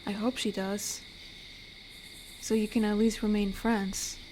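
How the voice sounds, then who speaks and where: A woman voicing an amiable, protective, lonely female werewolf in an intimate audio roleplay, speaking soothingly and reflectively with pauses. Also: medium pitch, little pitch movement, darkish tone, a little hiss or hum, close to an everyday voice. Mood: comforting, sincere. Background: night forest ambience with crickets.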